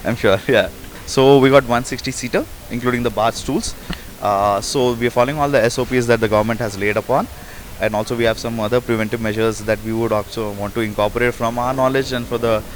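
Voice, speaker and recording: A man's voice: on the low side, slight background noise, low-quality recording. A man who owns a bar, talking emphatically and boastfully in an interview. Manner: brash, emphatic promoter. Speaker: bar owner. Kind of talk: casual interview.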